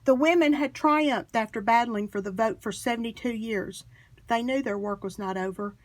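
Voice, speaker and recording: A woman reading a children's history book aloud in an expressive, emphatic storytelling manner. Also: a little high, normal speed. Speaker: storybook reader.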